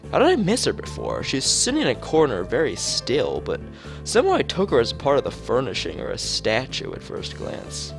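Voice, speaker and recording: A man's voice: medium pitch, wildly swooping pitch, normal speed, vocal fry, music in the background. A man reading visual novel text aloud as a streamer, sometimes stumbling and rereading phrases.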